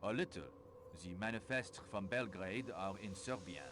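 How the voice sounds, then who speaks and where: Actor as polite, helpful train staffer for a game; slightly deep voice, formal, matter-of-fact delivery. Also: male voice, moderate pace, noisy background, lightly acted. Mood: obliging, matter-of-fact. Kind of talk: video game character acting.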